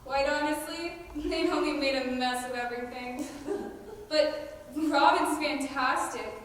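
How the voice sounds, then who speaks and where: A woman's voice: high-pitched, measured pace, a bit bright. A teenage girl playing a blunt, loyal outlaw woman in a school stage play. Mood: impatient, amused. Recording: distant, echoey stage recording with noise.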